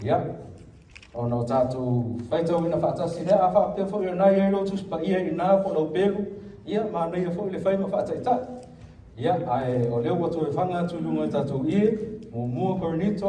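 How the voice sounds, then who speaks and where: Samoan-accented preacher, echoey church. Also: male voice, a little high, dark tone, distant mic, slight background noise. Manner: switches between Samoan and English, calls for amens. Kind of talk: bilingual sermon. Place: church hall.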